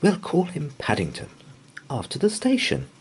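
A man's voice: on the high side, huge pitch swings, normal speed, a little hiss or hum, voice pushed up. A man voicing a kindly, fretful married woman in a storybook, exclamatory and fussing. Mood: decisive.

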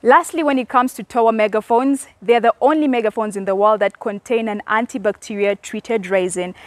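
A young woman giving a scripted product presentation to camera, explaining in a measured way. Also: a little high, dry recording. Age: young adult. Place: outdoors in a leafy garden.

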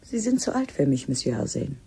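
A woman playing a blunt, defiant young village woman in a radio play, with a husky voice. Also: extremely low pitch, big pitch swings, brisk, mellow, full character voice. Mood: scornful, teasing. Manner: blunt, teasing. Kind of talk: naturalistic radio drama acting.

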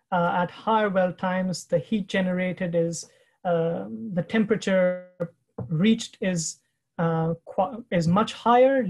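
A man presenting research findings in a webinar over a video call, explaining slides in a measured way with frequent pauses and fillers. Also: high voice, darkish tone. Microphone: video call webcam.

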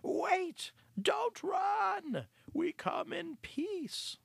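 A storyteller voicing a sheepish male barn rat who speaks in a hurried, pleading way. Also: medium pitch, huge pitch swings, vocal fry, a bit bright, slightly rough, dry recording, voice pushed up, exaggerated voice. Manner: hasty, pleading.

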